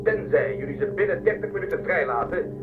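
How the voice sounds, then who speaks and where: An actor playing a formal, matter-of-fact, commanding starship captain who threatens coolly in a science-fiction radio play. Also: male voice, high-pitched, lively intonation, a little fast, narrow, tinny audio. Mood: threatening. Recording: distant, band-limited transmission with music behind.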